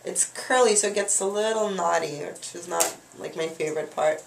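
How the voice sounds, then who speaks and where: A woman's voice: on the low side, moderate pace, vocal fry, slight background noise. Chatty young woman, slightly nasal, echoey room. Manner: chatty, rambling, lots of fillers. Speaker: hair care vlogger. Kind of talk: casual chat to camera. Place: small bathroom at home.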